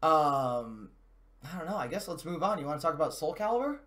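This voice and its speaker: Higher-pitched man, casual game show host. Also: lively intonation, brisk, fairly bright tone. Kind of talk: casual unscripted game and movie commentary.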